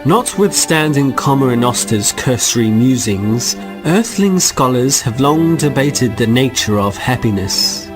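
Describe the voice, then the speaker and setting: A man narrating a radio drama in a measured, wry, mock-scholarly way. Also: medium pitch, expressive, over music. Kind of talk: scripted narration.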